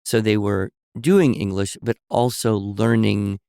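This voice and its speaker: A man co-hosting a podcast, telling stories in a relaxed conversational way and explaining with examples and asides. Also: fairly low pitch, a little slow, creaky, clean tone, dry recording, clean studio sound. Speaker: podcast co-host. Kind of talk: conversational storytelling.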